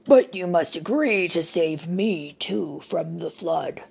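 A woman's voice: on the low side, animated, takes it slowly, creaky, darkish tone, husky, exaggerated voice. A woman voicing a huge, boastful male giant who whines about hunger in a storybook reading. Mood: whining, pleading. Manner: whining, then gleeful.

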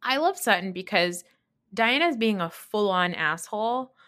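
A woman's voice: medium pitch, animated, a little slow, fairly bright tone. A woman in her thirties hosting a podcast, giving casual, blunt and opinionated reality TV commentary with plenty of swearing and emphatic retelling. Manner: emphatic, mimics others when quoting. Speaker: podcast host.

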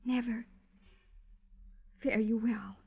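An actress in a classic radio drama playing a lovestruck, melodramatic widow with an effusive manner. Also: female voice, a little high, fairly even intonation, slow pace, vocal fry, slightly rough, exaggerated voice. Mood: mournful, dramatic. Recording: dull, band-limited radio recording.

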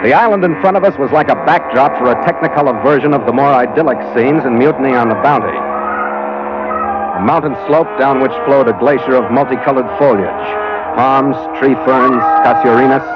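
Actor as seasoned sea captain over loud music. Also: male voice, medium pitch, natural voice. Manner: clipped log entries, lyrical storytelling. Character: seasoned male sea captain narrating his log. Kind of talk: 1940s radio adventure acting, narration. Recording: dull vintage radio recording with music.

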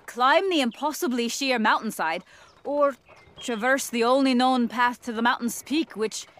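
An actress playing an earnest, thoughtful young adventurer in a fantasy audio drama. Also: female voice, on the high side, moderate pace, fairly bright tone, natural voice. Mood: determined.

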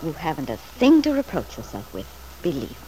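An actress playing a scheming, flattering woman in an old-time radio soap, solicitous and emphatic with false devotion. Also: low-pitched, fairly quick. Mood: soothing, flattering. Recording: noisy vintage radio drama recording.

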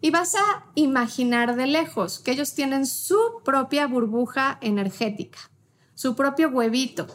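A woman leading a guided meditation with gentle instructions, in short phrases with pauses between them. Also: high voice.

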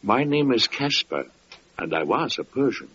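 An actor playing a gentle, pious old gatekeeper with solemn, meek archaic speech in an old-time radio drama. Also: male voice, mid-range voice, very animated, moderate pace. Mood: solemn devotion. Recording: muffled, noisy vintage radio recording.

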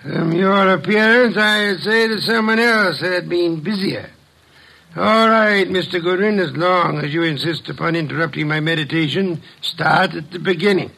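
Gravelly bright voice, pompous genius sleuth, wordy, sardonic, formal, vintage radio detective drama. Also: male voice, high-pitched, moderate pace, exaggerated voice, rough recording. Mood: irritated, sardonic. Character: pompous, erudite, irritable male genius detective. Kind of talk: old-time radio detective drama acting.

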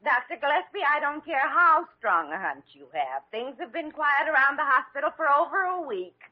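An actress playing a skeptical, chiding hospital nurse in an old radio drama. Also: female voice, high voice, big pitch swings, normal speed, soft background music. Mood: exasperated, skeptical. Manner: exclamatory, chiding. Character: sensible, skeptical female hospital nurse, exasperated. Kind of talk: 1940s-50s American radio drama acting. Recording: muffled old radio broadcast recording.

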